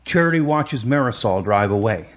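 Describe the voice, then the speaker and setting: A man's voice: medium pitch, expressive, normal speed. A man reading scripted narration for a radio drama as its narrator.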